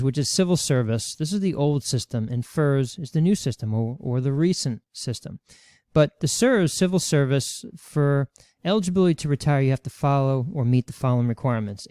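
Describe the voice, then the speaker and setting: A man's voice: medium pitch, mellow, clean tone, no room sound, studio quality. A man explaining retirement benefits rules as a webinar presenter, walking through them step by step with worked examples. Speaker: financial educator presenting a webinar.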